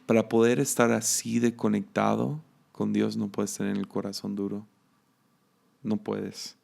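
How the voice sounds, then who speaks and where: Slow, gravelly Mexican man preaching. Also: lowish voice, flat delivery. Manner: unhurried, musing, with frequent restarts and soft laughs. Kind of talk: reflective devotional teaching.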